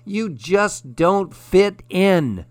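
A man's voice: sits high, expressive, very slow, dry recording, heavily acted. Tiny female woodland mouse, acted by a man, children's storybook, raised voice with plenty of lilt. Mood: rude. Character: small female mouse, rueful little woodland fairy.

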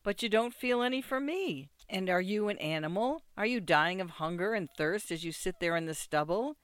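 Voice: mid-range voice, animated, normal speed, a bit bright, smooth, no echo, clean studio sound, lightly acted. A narrator voicing a distraught, headstrong young wife in a storybook, exclaiming, pleading and reproaching. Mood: pleading, reproachful.

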